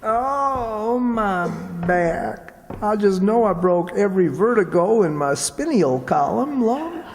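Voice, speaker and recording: A slow, high, swooping voice for a naive, ailing country sidekick in old-time radio comedy. He whines plaintively and folksily, in an echoey hall. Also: lively intonation, slight background noise, exaggerated voice. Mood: whining. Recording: echoey hall with long reverb.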